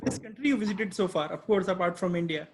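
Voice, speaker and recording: A man's voice: high-pitched, fast. A man interviewing a guest, asking simple, direct questions in a casual chat.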